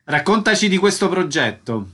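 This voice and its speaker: A man's voice: high-pitched, sing-song, moderate pace, pushed and bright, slightly rough. A man hosting a radio show, reading out listings and introducing a guest. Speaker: radio host. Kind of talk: scripted radio announcing and interviewing.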